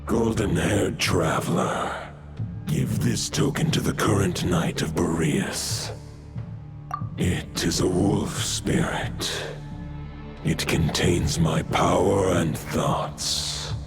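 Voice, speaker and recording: An actor playing an ancient, vast male wolf god who speaks in a grave, archaic, measured way, in a video game. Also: over music, heavily acted. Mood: commanding. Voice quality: deep gravelly voice.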